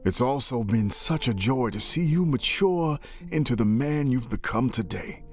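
An actor playing an affectionate, proud older brother in a video game, reading a hopeful letter in an earnest, expressive way. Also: male voice, mid-range voice, normal speed, close to an everyday voice. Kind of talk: scripted dramatic letter narration. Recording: music under the voice.

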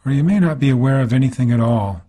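Male meditation guide, medium pitch, soft-grained husky tone, calm and unhurried instructional delivery with frequent pauses. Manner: calm, unhurried, gently instructive, pausing between phrases. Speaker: meditation teacher. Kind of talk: guided body scan meditation narration.

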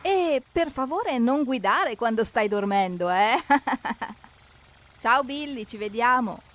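A woman's voice: fairly high pitch, animated, slow, a bit bright. A woman narrating a children's cartoon, expressively asking questions and talking straight to the characters.

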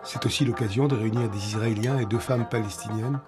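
An older man hosting and narrating a documentary in a grave, measured way. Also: on the low side, fairly even intonation. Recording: music under the narration.

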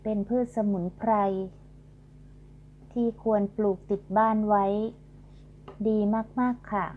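A woman's voice: medium pitch, steady tone, takes it slowly. A middle-aged woman hosting a garden channel, politely explaining flowers as she films outdoors with a handheld phone. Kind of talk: casual garden tour narration. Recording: dull lo-fi sound with faint hum.

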